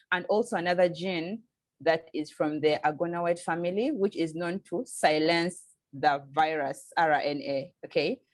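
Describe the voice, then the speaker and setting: Ugandan woman, pretty low voice, earnest, keeps saying okay?, dry sound. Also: darkish tone, no echo. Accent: Ugandan English. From eastern Uganda. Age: young adult. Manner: earnest, frequent restarts and tag "okay?". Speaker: PhD student. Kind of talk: interview answers.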